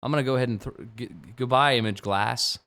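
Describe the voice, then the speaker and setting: A man in his twenties, a game streamer, chatting jokily on a late-night livestream and jumping from low mumbles to sudden shouts. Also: fast talker. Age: 20s. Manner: playful, jokey, swings from mumbling to sudden shouts.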